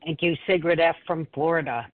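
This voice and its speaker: A woman hosting a call-in meeting, keeping things moving briskly. Her voice sits low. It sounds thin and phone-like. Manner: brisk, businesslike. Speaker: meeting host. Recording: phone line.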